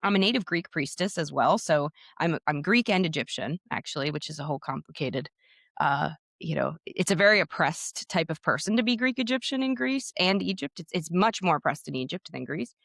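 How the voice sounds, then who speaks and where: A woman's voice: low voice, lively intonation, a little fast, creaky, fairly bright tone, no echo, clean studio sound. A woman in her thirties with a Pennsylvania American accent, talking casually as a guest author and podcaster, chatty and full of fillers. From United States, Pennsylvania. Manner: chatty and talkative, full of "like" and "you know". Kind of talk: casual interview chat.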